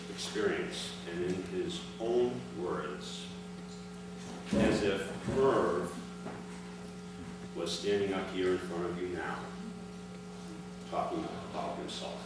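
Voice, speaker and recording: A middle-aged man reading a prepared formal speech aloud to a gathering, with deliberate phrasing and emphatic sentence openings. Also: deep voice, recorded from a distance. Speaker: speaker reading his father's prepared account. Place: large indoor room, addressing a gathering. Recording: echoey, noisy hall recording from afar.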